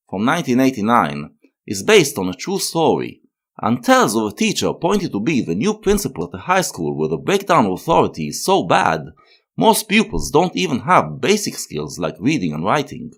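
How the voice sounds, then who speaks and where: A man's voice: medium pitch, huge pitch swings, moderate pace, gravelly. A man delivering scripted, opinionated video essay narration with formal phrasing, as the host.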